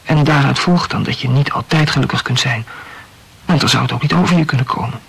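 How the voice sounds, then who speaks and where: An actor playing an evasive, self-absorbed lover in an intimate radio play, reasoning wordily in a breathy voice. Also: male voice, mid-range voice, fairly quick. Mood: musing. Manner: wordy, rationalizing.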